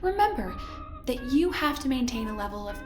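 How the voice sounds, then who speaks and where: An actress playing a smooth, patronizing woman who directs a research project on a spaceship in an audio drama, with mock-sweet control. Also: sits high, sing-song, fairly quick. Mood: pointed, accusing. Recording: roomy, echoey sound, music underneath. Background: music.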